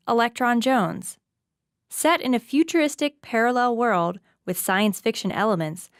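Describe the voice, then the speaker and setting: Woman interview host in a roomy space. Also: medium pitch. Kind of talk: radio interview hosting. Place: radio studio.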